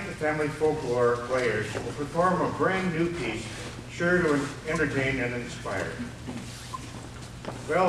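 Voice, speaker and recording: Man, classic broadcast announcer, scripted intro, mid-pitched voice, echoey theater, noisy distant mic. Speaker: announcer of a live radio play. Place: performing arts center stage before an audience.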